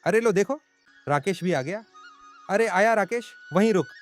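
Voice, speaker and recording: A man's voice: high-pitched, normal speed, faint music behind, close to an everyday voice. A man playing a proud, bossy middle-aged village farmer in a dramatized moral story, scolding and exclaiming in colloquial talk. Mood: grumbling.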